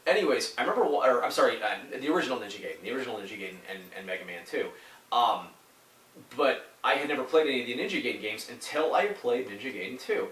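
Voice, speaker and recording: A man's voice: mid-range voice, expressive, fast, fairly bright tone, far from the mic. A man with a head cold and a stuffy voice, talking casually and rambling, with lots of fillers and restarts. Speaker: YouTuber reviewing retro games. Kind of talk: casual talk to camera. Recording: echoey room, a little off mic.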